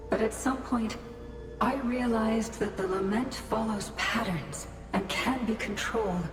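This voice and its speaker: A woman's voice: mid-range voice, close to an everyday voice. Game role: actress as trapped, sorrowful shadow woman, earnest and solemn, echoing voice over prominent music. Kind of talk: video game voice acting. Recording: roomy echo, music behind.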